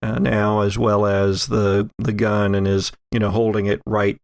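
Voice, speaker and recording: Brief: male game master for horror tabletop show, gravelly medium-pitched voice, very dry recording. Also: little pitch movement, measured pace, no echo.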